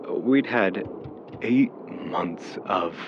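A man playing a caring, gently exasperated young boyfriend in a naturalistic audio drama, coaxing and a little hesitant. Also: sits low, expressive, drawn-out words, exaggerated voice. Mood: wry, reflective. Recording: dull sound with vehicle road noise.

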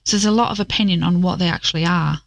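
A woman's voice: fairly low pitch, fast, gravelly, no room sound. A woman playing an amateur investigator and podcast co-host, chatty and explanatory, in an audio drama. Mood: conversational, curious.

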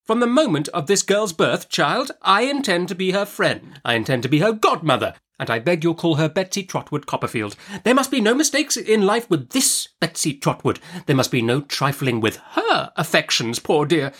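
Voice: medium pitch, sing-song, normal speed, few pauses, fairly bright tone, husky, voice pushed up. A narrator voicing a brusque, imperious older great-aunt in an audiobook, emphatic and commanding. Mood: firm, insistent.

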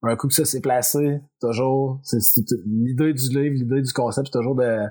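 A podcast guest from Quebec with a Quebec French accent, answering in a relaxed, halting way and often repeating words. His voice is husky and a little rough, at a middle pitch for a man. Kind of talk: casual interview answers.

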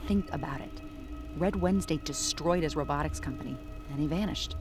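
A woman's voice: low-pitched, a little fast, music in the background, natural voice. Determined, terse female investigator, acted by an actress. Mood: accusing, resolute. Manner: direct, insistent. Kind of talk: audio drama acting.

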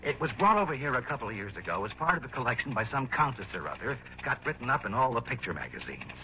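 A man playing an excitable, griping American insurance man who talks in emphatic slang in a 1960s radio drama. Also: mid-range voice, fast talker. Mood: agitated, emphatic. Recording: dull, noisy old radio recording.

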